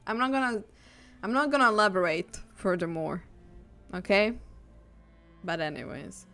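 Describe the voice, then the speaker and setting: A woman streamer giving casual livestream game commentary with playful, animated reactions. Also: medium pitch, moderate pace, faint music behind.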